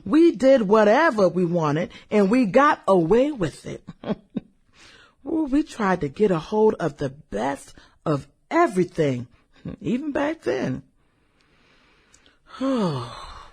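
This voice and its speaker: Actress doing a sassy middle aged party gal reminiscing, mellow voice, swoops up and down, music behind, radio drama. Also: female voice, mid-range voice, breathy edge, heavily acted. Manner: sassy, drawling exclamations and hums, girlfriend-to-girlfriend banter. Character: brash, fun-loving middle-aged woman, a nostalgic former popular girl. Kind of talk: satirical radio drama acting.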